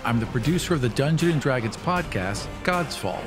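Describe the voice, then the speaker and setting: A man hosting a podcast with casual comedic banter, joking in a playfully deadpan way. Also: medium pitch, brisk, music in the background. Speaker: podcast host and producer.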